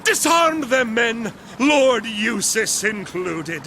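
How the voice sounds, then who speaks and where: A man's voice: very high-pitched, sing-song, slow, bright, forceful tone, a little hiss or hum, exaggerated voice. A man playing a blustering, rigid military commander with an imperious, barking delivery in a dramatic video game.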